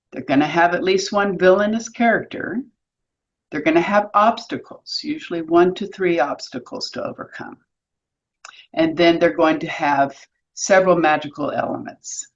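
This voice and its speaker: A woman's voice: sits low, highs cut off. A woman presenting an educational explainer in an articulate, instructive way with a lightly wry touch. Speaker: presenter of an educational video series, author and workshop leader. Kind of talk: semi-scripted educational explainer.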